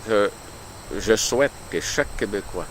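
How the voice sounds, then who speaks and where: A man giving an unscripted personal statement on his political views, with halting, deliberate phrasing. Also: fairly low pitch. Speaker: local resident giving a personal testimonial. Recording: clear outdoor nature noise, insects.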